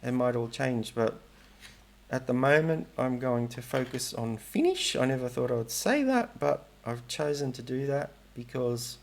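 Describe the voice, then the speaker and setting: A man's voice: medium pitch, animated, moderate pace, gravelly. A middle-aged man talking casually in a vlog, rambling and hesitant, often restarting and correcting himself. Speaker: language learning vlogger.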